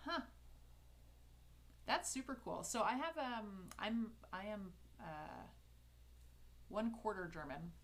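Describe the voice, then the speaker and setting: A woman's voice: mid-range voice, animated, takes it slowly, pauses often. A woman with a Canadian English accent chatting casually with her live viewers while she letters, upbeat and full of fillers and restarts. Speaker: calligraphy livestreamer.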